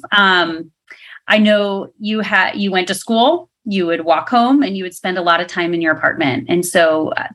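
A woman's voice: on the low side, a bit bright. A woman hosting a faith ministry interview, asking encouraging questions. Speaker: host.

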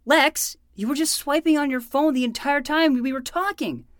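A man's voice: very high voice, moderate pace, fairly bright tone, no echo, clean studio sound. A man voicing an earnest, bossy twelve-year-old boy who talks like a dramatic hard-boiled detective in a fiction podcast. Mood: bossy, determined. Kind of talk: playful fiction podcast acting.

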